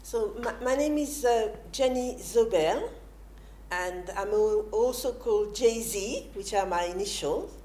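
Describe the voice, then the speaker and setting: A woman's voice: mid-range voice, unhurried, dark tone, a little hiss or hum. An older woman, a student, talking hesitantly in an interview. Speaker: film course student. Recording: echoey room, faint music.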